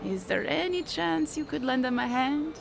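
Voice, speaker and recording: An actress voicing a chatty, earnest townswoman in a video game, talking in a gossipy, animated way. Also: high-pitched, normal speed, gravelly, music in the background. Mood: earnest, pleading. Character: chatty, earnest townswoman asking help for friends. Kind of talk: video game character dialogue.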